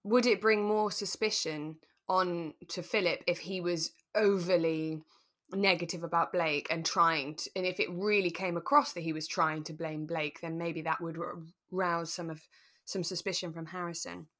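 A woman co-hosting a relaxed discussion podcast, talking in a thoughtful, tentative, explanatory way. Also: fairly low pitch, moderate pace. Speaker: podcast co-host. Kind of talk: relaxed radio drama discussion chat.

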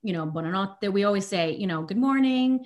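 A woman in her thirties, a native Italian speaker raised partly in Germany, chatting casually as a podcast guest, animated and self-deprecating. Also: mid-range voice, brisk, dull audio. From Germany and Italy. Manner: chatty, animated, self-deprecating, repeats words when restarting. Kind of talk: casual interview chat.

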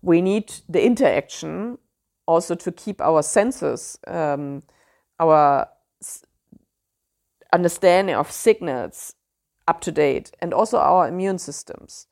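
Low, gravelly-voiced German-accented woman. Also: expressive, slow, darkish tone. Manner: thoughtful, searching for words with frequent fillers. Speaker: podcast host. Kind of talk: solo podcast talk.

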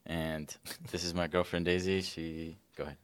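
A man's voice: deep voice, little pitch movement, normal speed, smooth. A man in his twenties, a podcast co-host, laid-back and joking in casual chat. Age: 20s.

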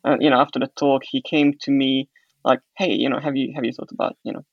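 Gravelly young man. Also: mid-range voice, fairly even intonation, fast talker, dry recording, highs cut off. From Hungary. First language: Hungarian. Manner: reflective, restarts and fillers as he recalls. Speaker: guest. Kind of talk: casual interview.

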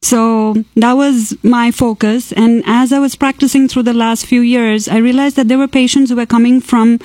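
A woman from Kerala whose first language is Malayalam, a cardiologist answering interview questions in careful, measured sentences. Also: fairly high pitch, fairly even intonation, darkish tone, no echo, low-quality recording. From Kerala, India. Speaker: cardiologist guest. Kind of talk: radio interview.

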